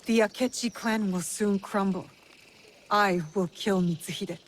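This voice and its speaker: Casting: actress as young female avenger, steady and determined, medium pitch, game drama, faint noise behind. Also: unhurried, close to an everyday voice. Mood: resolute. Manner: steady, resolute. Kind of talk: video game cutscene acting.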